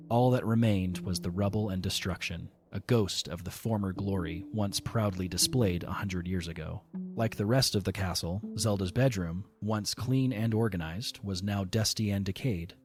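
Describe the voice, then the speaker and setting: A man narrating a fan audio drama in a calm, measured reading. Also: low-pitched. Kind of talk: scripted storybook narration.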